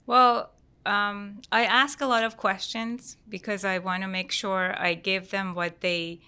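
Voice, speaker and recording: A middle-aged woman from Iran, a guest interior designer, chatting in a measured way with soft pauses. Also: medium pitch, flat delivery, smooth. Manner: measured, pausing with soft fillers. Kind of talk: casual interview chat.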